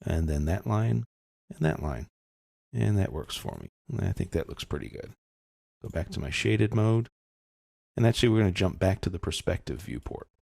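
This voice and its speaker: A man giving an informal step-by-step software tutorial, explaining casually as he thinks aloud. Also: low-pitched, lively intonation, normal speed, gravelly, no echo, studio quality.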